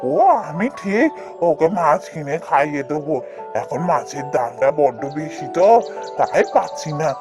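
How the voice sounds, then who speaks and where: A man's voice: on the high side, unhurried, music in the background. A man voicing a humble, eager village boatman in a cartoon fairy tale, obliging and politely exclamatory. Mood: modest protest.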